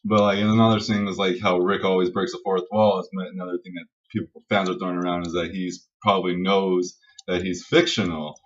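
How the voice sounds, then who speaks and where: A man with a fairly low voice co-hosts a casual pop-culture podcast. He rambles enthusiastically and swears easily. The sound is dull and lo-fi, and he sits some way back from the microphone. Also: level tone, fairly quick. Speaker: podcast co-host. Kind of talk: casual geek-culture chat. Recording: dull lo-fi audio, distant from mic.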